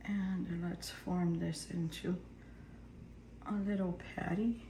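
A woman with a hoarse, partly lost voice, half whispering as she calmly talks through a cooking demonstration in her home kitchen. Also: lowish voice, slow pace, echoey room, slight background noise. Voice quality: whispery, breathy. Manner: calm step-by-step instructions. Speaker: home cook.

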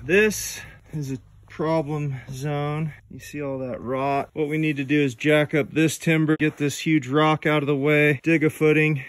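A man's voice: fairly high pitch, steady tone, unhurried, recorded from a distance. A man hosting a farm vlog, talking casually and joking as he restores an old barn outdoors. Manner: upbeat, joking, friendly sign-off. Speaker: farm channel host.

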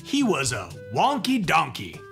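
Theatrical kids' story co-host, man in his 30s, bright lively voice, teasing; faint music bed. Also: a little high, a little slow, vocal fry. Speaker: children's book reader. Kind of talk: comedic read-aloud. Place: indoor studio with yellow couch.

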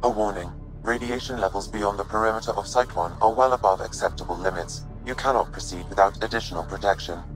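A man voicing a calm artificial intelligence advisor in a video game, formal, precise and unemotional. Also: lowish voice, fast, few pauses, faint music behind, natural voice, poor audio. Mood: calm, cautioning. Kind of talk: scripted video game dialogue.

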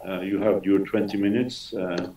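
An older man moderating an academic session over a video call from his study, speaking haltingly with many filler sounds. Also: sits low, level tone, warm tone. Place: home study with bookshelves. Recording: roomy video call audio from a distance.

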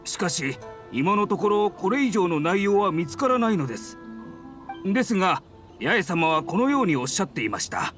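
High-voiced, deferential editor. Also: male voice, big pitch swings, moderate pace, a bit bright, soft background music. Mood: apologetic. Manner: very polite, deferential business speech. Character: courteous, apologetic, earnest male publishing editor. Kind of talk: Japanese anime game dub.

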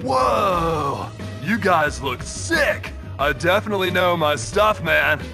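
High-pitched slacker ski dude. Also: male voice, lively intonation, takes it slowly, creaky, heavily acted. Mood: laid-back, cool. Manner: slangy, drawling cool-dude delivery. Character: laid-back cocky young surfer-dude ski shop owner. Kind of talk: cartoonish video game voice acting. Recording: loud game music behind the voice.

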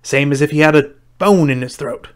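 A man's voice: a little high, brisk, clean tone, close to an everyday voice. Man playing a brusque, boisterous storybook gryphon. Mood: gruff. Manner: blunt, interrupting, loud exclamations. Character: brusque, excitable gryphon beast, blunt and boisterous. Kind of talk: storybook character voice.

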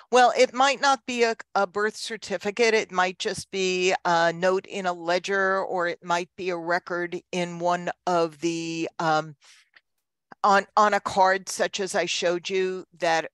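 An older woman answering questions as a guest lecturer, explaining thoughtfully and haltingly in an informal talk. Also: lowish voice, a bit bright, clear, resonant tone, no room sound. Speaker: guest lecturer, genealogy researcher. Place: indoors on a video call. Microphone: headset microphone.

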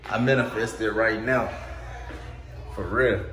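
A man's voice: unhurried, fairly bright tone. A young man, chatting casually and slangily in an upbeat, emphatic way as he vlogs. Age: young adult. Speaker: vlogger. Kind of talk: casual vlog chat. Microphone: phone or action camera at arm's length. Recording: noisy handheld camera audio with ambient rumble.

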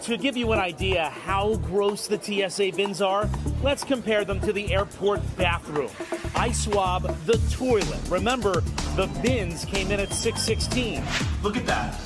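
A man in his forties reporting a consumer news story, energetic and emphatic, moving from studio to airport to plane cabin. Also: sits high, a little slow, loud music behind the voice.

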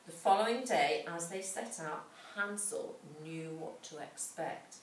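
A middle-aged woman reading a story aloud to a child, dramatically, with pauses and questions. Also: on the low side. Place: large indoor school room with hard floor. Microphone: distant camera microphone. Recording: echoey room, distant mic, background noise.

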